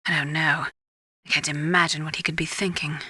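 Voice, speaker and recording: A woman's voice: sits low, flat delivery, brisk, bright, forceful tone, husky, no room sound, exaggerated voice. A woman playing a poised, clinical female scientist advising a soldier in a video game, speaking in a precise, explanatory way. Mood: concerned. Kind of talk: video game radio briefing acting.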